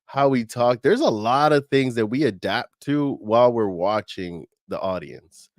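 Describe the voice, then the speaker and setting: A man co-hosting a podcast in a loose, animated way, dropping slang and fillers as he talks. His voice sits in an ordinary middle pitch. The sound is close and dry, with no room around it. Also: measured pace, no room sound. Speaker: podcast co-host. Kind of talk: casual podcast chat.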